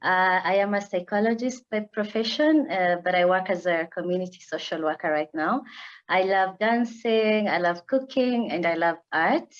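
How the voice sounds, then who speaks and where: A woman from Kenya, a psychologist working as a social worker, speaking gently and sincerely. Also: fairly low pitch, moderate pace, dull audio.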